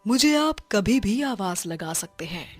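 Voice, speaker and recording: An eerie caretaker woman from a cartoon horror story, as a woman puts her on, hushed and lower than the others. She speaks slowly and with too much politeness. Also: lively intonation, faint music behind, natural voice. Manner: low, hushed and overly polite. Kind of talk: animated storybook dubbing.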